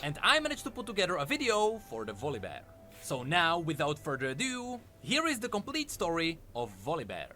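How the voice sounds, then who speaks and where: A man's voice: fairly high pitch, wildly swooping pitch, brisk. A man narrating a scripted lore video. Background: faint music.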